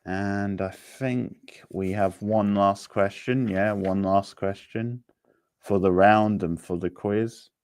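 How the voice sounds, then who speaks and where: A man casually hosting a live quiz, reading out questions, options and scores in a halting way. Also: fairly low pitch, fairly even intonation, darkish tone, clean tone, no echo. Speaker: quiz host.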